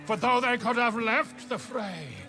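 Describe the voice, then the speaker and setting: A man's voice: very high-pitched, unhurried, music in the background. A man playing a flamboyant theatrical bard in a video game, declaiming rhyming verse in a grandiose, dramatic manner. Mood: rapturous, triumphant. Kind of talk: declaimed rhyming poetry performance.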